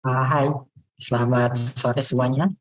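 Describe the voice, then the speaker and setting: A young Indonesian man speaks as a guest on an online call. His mid-pitched voice stays very even, and his delivery is polite, formal and hesitant. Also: takes it slowly. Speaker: programme alumnus, guest. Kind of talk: formal self-introduction and interview answers.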